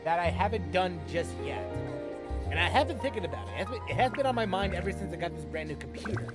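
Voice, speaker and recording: A man's voice: high voice, expressive, quick pace. A man chatting casually to viewers as a livestreamer, rambling and informal, with music playing behind him. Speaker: game livestreamer.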